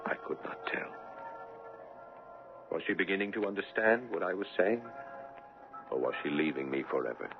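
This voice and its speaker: An actor playing an anguished, insistent young fiancé in 1970s radio drama, intense and swinging from forceful to hushed. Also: male voice, rumbling low, vocal fry, heavily acted. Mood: troubled narration. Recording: tinny, noisy 1970s radio recording.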